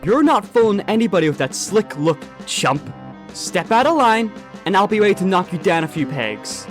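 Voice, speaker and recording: A man's voice: high voice, big pitch swings, moderate pace, soft background music. A man voicing a bossy, bullying assistant manager for a fan comic dub. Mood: blustering. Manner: loud, blustering, bossy. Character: blustering adult male assistant manager, bullying.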